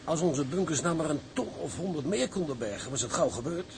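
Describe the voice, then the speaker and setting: A wry, practical tugboat officer in a radio play. His voice sits a little high for a man, and he talks bluntly and doubtfully. Faint noise lies behind him. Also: expressive, brisk. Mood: grave, practical. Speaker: actor in a radio drama. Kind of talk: Dutch audio drama acting.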